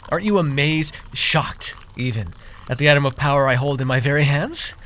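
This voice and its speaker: A man's voice: a little high, a little fast, a bit bright, a little hiss or hum, natural voice. A man playing a proud, easily exasperated magical herbalist in a fantasy roleplay, talking straight to the listener with theatrical airs and sheepish, stammering asides. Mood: boastful, showy. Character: proud, easily exasperated young magical herbalist who gave away his name.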